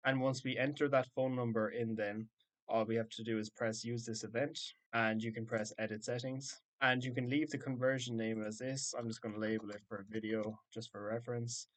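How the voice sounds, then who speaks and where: Male tutorial presenter, fairly low pitch, monotone delivery, instructional, matter-of-fact, step-by-step. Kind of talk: step-by-step software tutorial narration.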